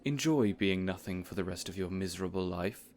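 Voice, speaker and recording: A man playing a cold, contemptuous supervillain in an audio roleplay, speaking in a haughty, deliberate, threatening manner. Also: deep voice, a little mellow, a faint crowd. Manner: menacing, slow, haughty, deliberate.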